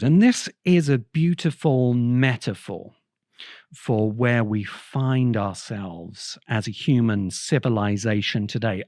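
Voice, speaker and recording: A man talks slowly and deliberately, like the host of a thoughtful essay show. His pitch sits in the middle for a male voice, and he leaves pauses between phrases in an erudite, lecturing style. The sound is dry, with very little room to it. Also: darkish tone, no room sound. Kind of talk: reflective video-essay lecture.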